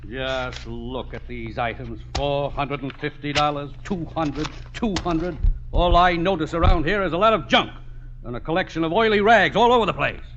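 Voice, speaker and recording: An actor playing a brisk, skeptical insurance adjuster, clipped and businesslike, in a radio comedy. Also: male voice, fairly high pitch, close to an everyday voice. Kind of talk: 1950s radio comedy acting. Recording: dull, faintly noisy old radio recording.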